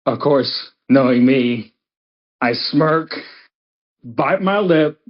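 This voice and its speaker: Man, tabletop player, casual first-person roleplay, slow delivery, slightly dull recording. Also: medium pitch, animated.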